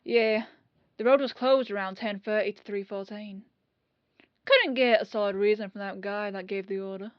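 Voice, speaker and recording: A woman playing a dogged police detective in an audio roleplay, questioning in a folksy, falsely casual way with pauses. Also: mid-range voice, fairly even intonation, no echo, dull audio, lightly acted. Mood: casual suspicion. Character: dogged female police detective, casually probing, quietly suspicious.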